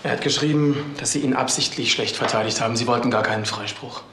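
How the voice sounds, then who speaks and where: Man acting embittered young son, quick tense speech, accusing, crime radio play, faint noise. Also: mid-range voice, lightly acted.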